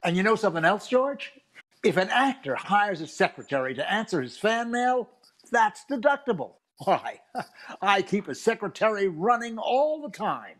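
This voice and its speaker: A man's voice: high-pitched, big pitch swings, normal speed, fairly bright tone, full character voice. An older man playing a boastful, vain, girl-chasing pal in a 1940s-style radio comedy. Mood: breezy advice. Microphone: webcam-style video call, earphones.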